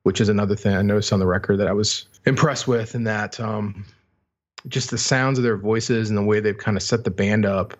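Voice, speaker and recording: A man's voice: on the low side, fairly even intonation, fairly quick. A man talking casually about music as a podcast host, on a slightly dull, lo-fi recording.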